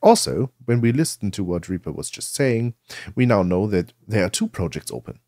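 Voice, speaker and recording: A man presenting a software tutorial, explaining things step by step into a close microphone. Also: fairly low pitch, sing-song, normal speed, no echo.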